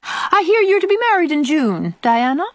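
Woman acting a shrill, sour old country aunt. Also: sing-song, normal speed, no room sound. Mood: needling. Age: older. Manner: disagreeable, sarcastic, nagging. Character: sour, sharp-tongued old country aunt, complaining and sarcastic. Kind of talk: audiobook character voice.

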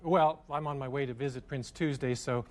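A man playing a polite, curious, kindly neighbour in a children's make-believe television show, speaking gently and expressively. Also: mid-range voice, fairly even intonation, normal speed, lightly acted. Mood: friendly, wondering. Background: prominent music.

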